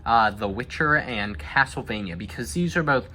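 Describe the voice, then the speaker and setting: A man hosting a casual film discussion, talking in a halting, conversational way. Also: mid-range voice, animated, sharp tone, slight background noise. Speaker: host.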